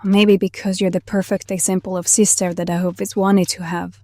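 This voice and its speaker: A woman's voice: on the low side, flat delivery, a little fast, darkish tone, dry recording, close to an everyday voice. A woman playing a protective, wistful half-banshee, half-necromancer outcast who speaks confidingly in an audio drama. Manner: calls the younger one honey, confiding.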